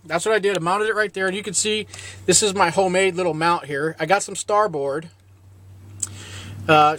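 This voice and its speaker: Casual man with a slightly higher-pitched voice. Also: fairly bright tone. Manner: informal, self-deprecating, mild swearing. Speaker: video host, DIY boat owner. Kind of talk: casual, unscripted show-and-tell vlog.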